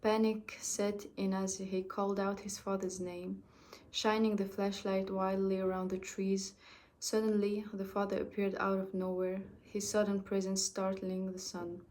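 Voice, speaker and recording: A young woman whose first language is French, reading a story aloud in a halting way with frequent restarts. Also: medium pitch, flat delivery, a little mellow. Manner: halting, with restarts and self-corrections.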